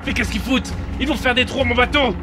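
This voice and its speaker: A man's voice: very high voice, quick pace, echoey room, music in the background. A man voicing an exasperated male pirate captain who splutters with disbelief.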